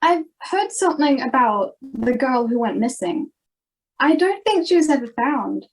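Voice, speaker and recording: A woman's voice: high-pitched, lively intonation, unhurried, full character voice, poor audio. An Australian girl of about ten playing a sensible, careful modern schoolgirl in an amateur radio play, recorded at home. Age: 10 to 11, child. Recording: home video-call recording with music.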